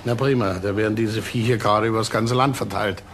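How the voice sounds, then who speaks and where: An actor playing a brisk, authoritative police detective in a crime drama, curt and commanding. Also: male voice, lowish voice, steady tone, natural voice. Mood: grim. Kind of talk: crime drama acting in German.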